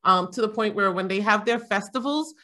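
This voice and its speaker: A woman's voice: mid-range voice, a little fast, pushed and bright. A middle-aged woman from Jamaica chatting casually as a panel guest, rambling with frequent fillers and laughs. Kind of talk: casual conversation.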